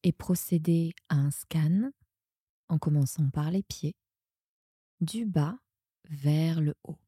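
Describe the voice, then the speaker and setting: A woman guiding a sleep meditation in a soothing, hushed, breathy manner that often nears a whisper. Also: sits low, slow, no room sound, studio quality. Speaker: yoga nidra guide and podcast host.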